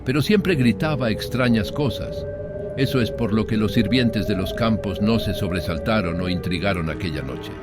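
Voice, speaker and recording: A man's voice: fairly low pitch, normal speed, over loud music. A man narrating a horror story in a dramatic first-person audiobook style.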